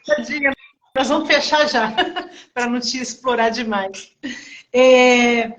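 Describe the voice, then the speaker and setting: A woman's voice: fairly high pitch, slow pace, muffled recording. A woman hosting a casual live-stream interview, chatty and full of tag questions. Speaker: interview host. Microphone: remote call over a live stream.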